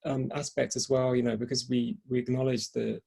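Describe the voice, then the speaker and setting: A man's voice: medium pitch, level tone, brisk, vocal fry, warm tone, breathy edge. A man hosting a panel discussion in spontaneous talk, hesitantly repeating and rephrasing as he goes. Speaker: event host and moderator.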